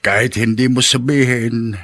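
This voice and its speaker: An actor playing an ageing, apologetic Filipino father in a radio drama, gravelly and husky, earnest and pleading. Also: medium pitch, fairly even intonation, a little slow, fairly bright tone, no room sound.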